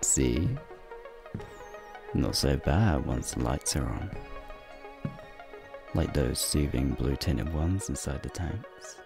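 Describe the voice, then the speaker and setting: A man playing a flirty, teasing werewolf guide in an ASMR roleplay, talking softly and playfully to the listener in a gravelly, breathy voice. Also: animated, slow, pauses often, music in the background, full character voice. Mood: flirty, warm. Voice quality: deep, gravelly, breathy low voice. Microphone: close microphone.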